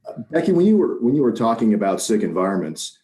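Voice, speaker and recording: A man with a fairly low voice and lively, swinging intonation asks a question. He speaks as an audience member on an online video call. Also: fast. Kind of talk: question in a meeting.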